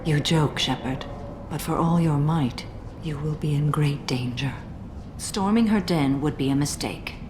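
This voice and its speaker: Grave, low-voiced alien huntress. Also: female voice. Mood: composed, cautionary. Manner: measured, formal, grave. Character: ancient, solemn female alien warrior hunter, composed and grave. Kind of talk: video game voice acting. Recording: clearly noisy game ambience.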